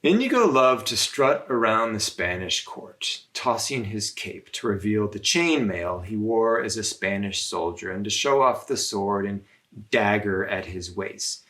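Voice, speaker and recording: A man reading a story aloud to children as a religious brother, in measured phrases with frequent pauses. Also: fairly low pitch, animated, fairly bright tone.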